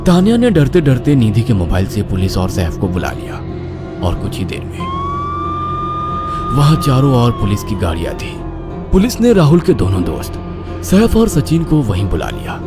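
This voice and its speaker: A man's voice: mid-range voice, huge pitch swings, normal speed. A man narrating a horror cartoon story in a dramatic, theatrical way. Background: background music.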